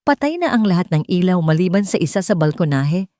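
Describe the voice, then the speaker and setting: A woman's voice: on the low side, big pitch swings, brisk, warm tone, no echo, studio quality. A woman storyteller reading a scripted dramatic narration.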